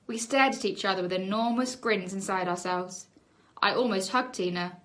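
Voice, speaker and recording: A woman teacher reading a children's book aloud in an animated storybook style, leaning on key words with dramatic emphasis. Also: mid-range voice, moderate pace.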